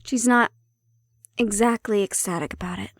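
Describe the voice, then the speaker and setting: A woman playing a prickly but caring handler in an intimate audio roleplay, speaking in a measured, probing way. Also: mid-range voice, dry recording, studio quality, lightly acted. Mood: candid, wry. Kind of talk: intimate audio roleplay acting, one-sided conversation.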